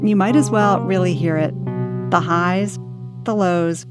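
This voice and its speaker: A woman's voice: lowish voice, measured pace. Middle-aged woman narrating over guitar music. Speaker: author and audiobook narrator. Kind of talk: scripted first-person audiobook narration.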